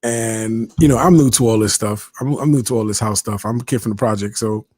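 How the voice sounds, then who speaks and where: A man's voice: on the low side, a little fast, a little mellow, breathy edge. A man in his early fifties hosting a casual livestream chat, talking to viewers and reading out their comments as he goes. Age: 50s. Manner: chatty, reads out viewer comments, often interrupts himself. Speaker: host.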